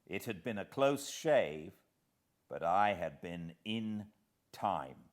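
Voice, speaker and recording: A British man narrating a literary audiobook in a measured, expressive way with dramatic pauses. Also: low-pitched. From Britain.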